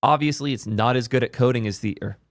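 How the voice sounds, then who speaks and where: A young man hosting a casual tech video, excitable, restarting lines and swearing now and then, his voice a little hoarse. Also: mid-range voice, quick pace, no room sound, studio quality. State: voice a bit hoarse, clearing throat. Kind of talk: casual tech commentary to camera.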